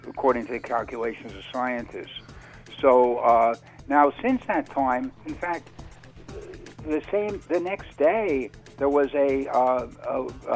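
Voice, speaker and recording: Guy being interviewed on radio, medium pitch, kinda stumbles along looking for the right words. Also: poor audio. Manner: halting, searching delivery with repeated words. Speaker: interview guest, author on UFO topics. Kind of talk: unscripted radio interview talk.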